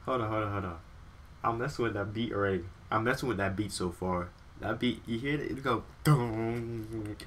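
A man in his twenties with an American accent gives casual, slangy reaction commentary. His voice sits fairly low. He speaks excitably and often doubles back on his phrases. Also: normal speed, slight background noise. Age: 20s. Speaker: reaction video host. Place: small bedroom, indoors. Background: hip hop music playing.

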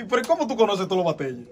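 A man with a Dominican Spanish accent interviewing a guest, friendly and chatty, with quick follow-up questions. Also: sits high, very animated. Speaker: interviewer, host. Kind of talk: casual interview.

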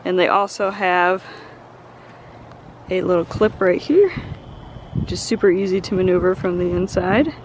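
A woman's voice: lowish voice, unhurried, long pauses. A middle-aged woman giving a casual how-to demonstration outside, speaking to a camera a few meters away. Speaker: host demonstrating tent setup. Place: grassy yard outdoors beside a house. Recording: wind-buffeted outdoor camera audio, loud noise.

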